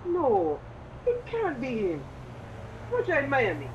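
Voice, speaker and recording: A woman's voice: high voice, wildly swooping pitch, slow pace, dull audio, background noise, heavily acted. A woman playing a bossy, exasperated grandmother with a Jamaican accent in a radio drama, commanding in manner. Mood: insistent.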